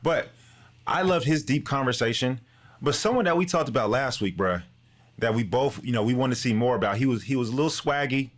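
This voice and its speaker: A man with a medium-pitched voice hosts a podcast. His talk is laid-back and familiar, full of slang, in an easy chat style. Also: lively intonation, fairly quick. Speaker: podcast co-host. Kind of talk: casual chat.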